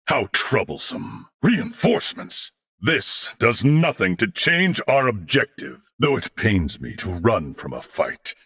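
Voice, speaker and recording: A gallant, disciplined warrior man from a video game. His voice is forceful and gravelly, swinging widely in pitch. He phrases everything in a formal, old-fashioned, theatrical way, proud and resolute in temper. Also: very animated, slow, hardly any pauses, full character voice. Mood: dutiful, resolute. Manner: formal, archaic, crisply declaimed phrasing.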